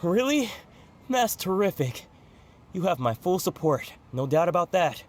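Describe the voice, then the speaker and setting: An actor playing an upbeat, supportive bazaar local with an encouraging way of talking. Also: male voice, high voice, very animated, measured pace, slight background noise.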